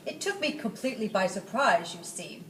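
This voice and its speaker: A woman's voice: mid-range voice, lively intonation, normal speed, music in the background, close to an everyday voice. A woman voicing a genteel, cultured male dragon in a children's storybook, chatty and flowery in manner. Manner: chatty, flowery, self-satisfied. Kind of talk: children's storybook read-aloud. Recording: roomy, distant mic, faint noise.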